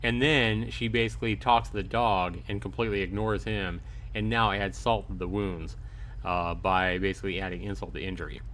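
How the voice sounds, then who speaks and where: A man's voice: sits low, fairly even intonation, normal speed, a bit bright, a little hiss or hum. A man hosting a livestream, reading a visual novel aloud and commenting casually to his viewers. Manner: conversational, chatting with viewers. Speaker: livestream host. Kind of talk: casual game commentary and read-aloud narration.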